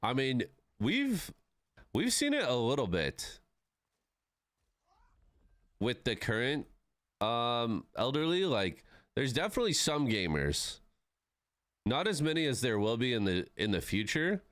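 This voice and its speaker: Laid-back streamer man, lively swooping pitch. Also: a little slow, long pauses, no room sound, studio quality. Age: 30s. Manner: laid-back, swears freely, says "bro" and "man". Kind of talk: casual live-stream chat with viewers.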